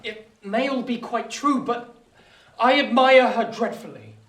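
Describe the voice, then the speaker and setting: An actor playing a discontented, lovesick working-class youth who longs to be a gentleman, earnest and impassioned in a radio drama style. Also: very high-pitched, unhurried. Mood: infatuated, agitated. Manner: earnest, impassioned, swinging from petulant to wistful. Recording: roomy echo, distant mic, faint hiss.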